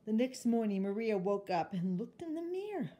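A woman reading a picture book aloud as an expressive storyteller for children. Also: a little high, moderate pace.

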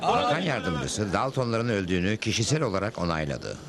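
A man voicing a cool, laconic, calm cowboy hero in a cartoon dub. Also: on the low side, a little fast, over loud music. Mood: thoughtful. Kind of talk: Turkish-dubbed cartoon acting.

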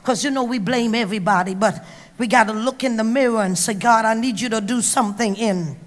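A middle-aged woman from Trinidad preaching an emphatic sermon on a handheld mic, going from hushed to loud. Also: fairly high pitch, brisk. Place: large banquet hall on stage. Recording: long hall reverb.

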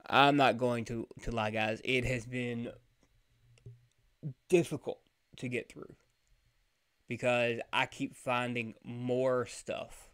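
A man's voice: mid-range voice, level tone, slow, pauses often, fairly bright tone, clear, resonant tone. A man talks casually over clips he is reacting to. He speaks bluntly, with open irritation, in a mid-range voice. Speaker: commentary host.

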